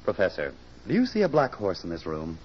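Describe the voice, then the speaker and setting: An actor playing a wry, exasperated but affectionate sweetheart in a 1940s radio drama, wisecracking and sardonic. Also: male voice, low-pitched, wildly swooping pitch, brisk, breathy edge, full character voice. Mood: firm, sardonic. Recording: dull, lo-fi old radio recording.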